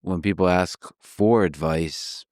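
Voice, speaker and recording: A man hosting a podcast, asking questions in a measured, thoughtful way. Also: sits low, a little mellow, no echo, studio quality. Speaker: podcast host. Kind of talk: interviewing a guest.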